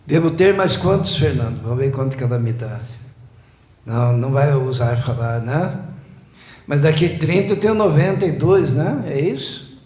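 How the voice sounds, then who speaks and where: A man, preaching a sermon slowly and emphatically, building up repeated phrases. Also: on the high side, darkish tone. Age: 60s. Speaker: pastor. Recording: echoey church room.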